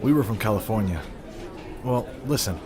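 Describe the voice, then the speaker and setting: A man's voice: lowish voice, expressive, moderate pace, background noise, close to an everyday voice. A man playing an anxious, protective young older brother in a sci-fi audio drama, fretful and a little awkward. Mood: awkward, polite.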